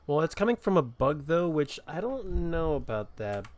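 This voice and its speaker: A man's voice: on the high side, very animated, moderate pace. A man giving casual commentary while playing a game.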